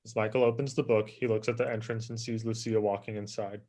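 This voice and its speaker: Lowish-voiced man reading stage directions. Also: little pitch movement, quick pace, dark tone. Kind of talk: scripted narration.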